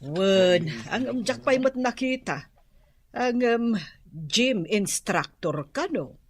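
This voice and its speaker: A middle-aged Filipino mother who runs an eatery in an Ilocano radio comedy. She talks slowly in a mid-pitched voice. Her manner is chatty and proud. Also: expressive.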